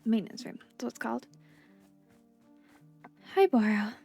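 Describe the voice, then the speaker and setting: Female gameplay commentator, higher register, casual and chatty, little asides to self, music underneath. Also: lively intonation, moderate pace, vocal fry, a little mellow, breathy edge. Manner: chatty, murmuring asides to herself. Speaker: video game streamer. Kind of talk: casual gameplay commentary with dialogue read aloud. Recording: game music behind the voice.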